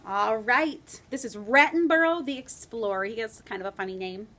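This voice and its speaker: Woman teacher, slightly high voice, gentle encouraging read-aloud for first graders. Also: moderate pace, a little hiss or hum. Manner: warm, encouraging teacher talk. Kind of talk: reading a story aloud to children.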